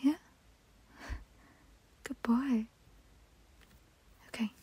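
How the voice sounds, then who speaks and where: Slow, breathy whispering woman. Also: on the high side, steady tone, mellow. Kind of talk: intimate whispered roleplay audio.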